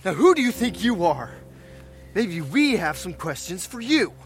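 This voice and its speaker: A man playing an agitated escaped prisoner who questions haltingly and stammers, in an audio drama. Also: sits high, big pitch swings, soft background music. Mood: pressing.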